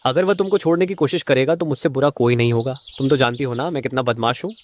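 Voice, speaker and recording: A man plays a cartoon crow gangster with a mid-pitched male voice. He is a bullying, protective brother who brags about how bad he is and speaks in threats. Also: fast, slight background noise, close to an everyday voice. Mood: boastful, threatening. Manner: boastful, threatening. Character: menacing thug crow, protective brother of the bride. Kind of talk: Hindi moral-story cartoon dialogue.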